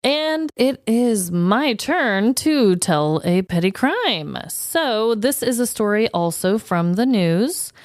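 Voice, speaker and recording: Female podcast co-host, lively swooping intonation, playful storyteller, close dry sound. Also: on the high side, slow pace, hardly any pauses, no room sound. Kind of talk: casual chat and storytelling.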